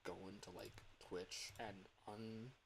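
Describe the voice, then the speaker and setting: Slow, rambling man with noise behind him. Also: on the low side, fairly even intonation, creaky, fairly bright tone, poor audio. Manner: rambling, halting, thinking aloud. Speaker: livestreamer and fangame developer. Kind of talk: casual livestream chat. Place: livestream at a computer.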